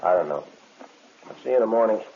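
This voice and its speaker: Tired jailbird in old crime radio show, real deep voice, clipped, noisy recording. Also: male voice, lively intonation, fast, lo-fi sound. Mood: guarded, casual. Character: guarded, weary male jail inmate, terse and evasive. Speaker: narrator and lead actor in a police radio drama. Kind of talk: 1950s radio crime drama acting.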